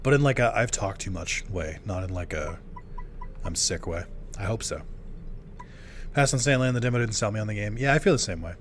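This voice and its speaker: A young man streaming a game live, chatting loosely with many fillers while his voice starts to go hoarse. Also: fairly low pitch, expressive, fairly quick, long pauses, slight background noise. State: voice going hoarse. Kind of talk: casual live-stream chat while gaming.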